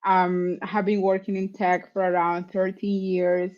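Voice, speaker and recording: A woman's voice: lowish voice, flat delivery. Woman on a dull call line. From Colombia. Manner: earnest, conversational, some halting restarts. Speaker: guest software engineer. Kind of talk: interview answers.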